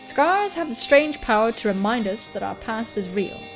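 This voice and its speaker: A woman hosting a gaming video, reading a visual novel aloud and adding casual, irreverent, expressive commentary. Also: a little high, normal speed. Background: game music.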